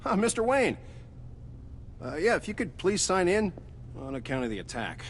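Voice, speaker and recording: A man's voice: sits high, very animated, normal speed, slight background noise. A man playing a nervous, deferential security guard in a video game, hesitant and apologetic. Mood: nervous politeness.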